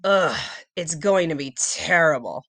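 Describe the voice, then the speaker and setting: A woman's voice: deep voice, lively intonation, unhurried, vocal fry, pitched below the performer's own voice, exaggerated voice. A woman voicing a reluctant, easily alarmed schoolboy in a storybook, with a whiny, exclamatory delivery. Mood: grumbling.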